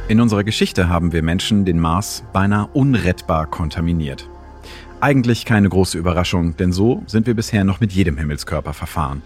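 Deep-voiced male narrator, measured and serious. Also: a little mellow, faint music behind. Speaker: podcast host and narrator. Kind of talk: scripted documentary-like narration.

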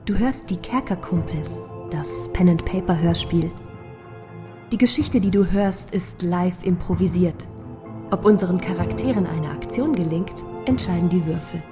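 A woman's voice: fairly low pitch, normal speed. A woman reading a scripted podcast introduction as announcer. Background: prominent music.

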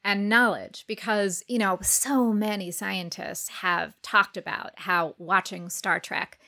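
A woman's voice: medium pitch, steady tone, slow pace, creaky, sharp tone. A woman speaking as a podcast guest, explaining her points articulately in a conversational style. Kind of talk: conversational interview talk.

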